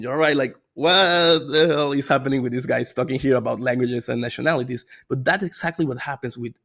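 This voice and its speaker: Man, gravelly voice, lively casual lecture, keeps asking "okay?" and "right?". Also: medium pitch. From Venezuela. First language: Spanish. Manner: energetic, conversational, frequent "okay?" and "right?" tags. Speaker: AI consultant presenting a course. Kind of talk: informal online lecture.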